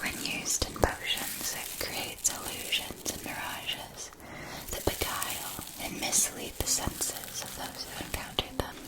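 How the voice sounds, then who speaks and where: Slow, whispering woman in ASMR roleplay. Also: mid-range voice, rough recording. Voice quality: soft breathy whisper. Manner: slow, deliberate, careful enunciation. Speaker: ASMR roleplay host playing a magic school shopkeeper.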